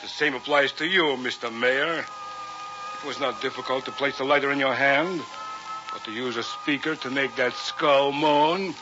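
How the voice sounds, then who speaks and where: A man's voice: medium pitch, unhurried, music in the background, close to an everyday voice. A man acting as an arrogant, coldly rational scientist and domineering husband in a vintage radio drama, with a pompous, lecturing manner. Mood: gloating. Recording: muffled, hissy vintage radio recording.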